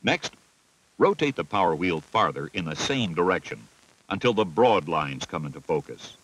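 An American man narrating a scripted instructional film in a clear, methodical, teacherly manner. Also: deep voice, sing-song, normal speed, fairly bright tone, slight background noise, low-quality recording. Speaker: narrator of a military training film. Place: voice-over recording.

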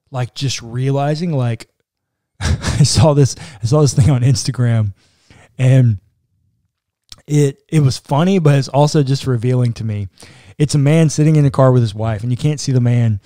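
A man in his thirties with a dark, mellow voice, co-hosting a relaxed podcast conversation. He muses aloud with plenty of "like"s, recorded close on a studio mic in a dry, small room. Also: medium pitch, no echo. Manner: reflective, talks it through with frequent "like". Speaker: podcast co-host. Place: small room indoors, seated in armchair. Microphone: boom-arm studio mic close in front.

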